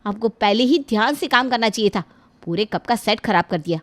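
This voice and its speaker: A woman doing a sharp-tongued young daughter-in-law. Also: high-pitched, normal speed, no room sound, voice pushed up. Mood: scornful. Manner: snappy, scornful, lively.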